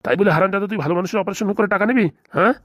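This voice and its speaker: Man playing a blustering, rapid-fire cartoon police boss. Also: high-pitched, fairly bright tone, no room sound, studio quality. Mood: furious. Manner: blustering, threatening. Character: bullying, foul-mouthed male police commissioner. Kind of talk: fast cartoon comedy dialogue.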